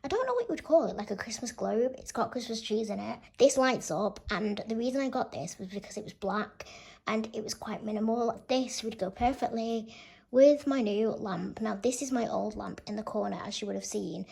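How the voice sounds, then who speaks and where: A young woman vlogger chatting casually through a room tour, gushing and filling her talk with "like", her voice a little croaky. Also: on the high side, animated, moderate pace, dark tone. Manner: chatty, gushing, says "like" a lot. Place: bedroom.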